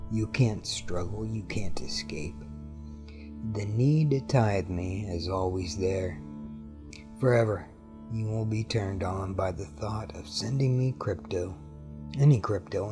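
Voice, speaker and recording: A man delivering a slow, commanding hypnotic monologue, repetitive and dropping to a hush at times. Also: lowish voice. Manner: commanding, repetitive, hushed in places. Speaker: hypnosis audio speaker. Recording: clear guitar music underneath. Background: guitar music.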